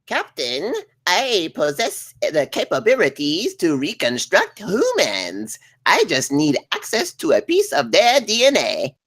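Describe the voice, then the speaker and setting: A woman's voice: fairly low pitch, wildly swooping pitch, unhurried, few pauses, fairly bright tone, full character voice. A woman playing a formal ship's computer in a sci-fi comedy table read.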